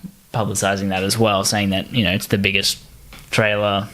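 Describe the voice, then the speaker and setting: A man co-hosting a podcast, talking casually about pop culture in a jokey way and quick to laugh. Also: on the low side, little pitch movement, pushed and bright. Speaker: podcast co-host.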